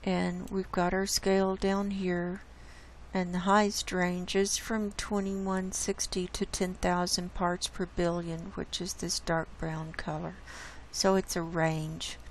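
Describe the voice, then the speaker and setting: A woman host with a hoarse voice, casually walking viewers through data shown on her screen. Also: on the low side, little pitch movement, slight background noise, poor audio. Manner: unhurried, explains data on screen. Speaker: channel host presenting climate data. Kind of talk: casual screen-share presentation.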